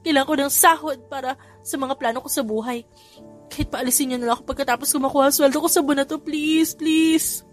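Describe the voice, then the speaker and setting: A woman's voice: high-pitched, fairly even intonation, fast, soft background music, voice pushed up, lightly acted. Woman playing a bubbly scheming young nanny. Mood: excited.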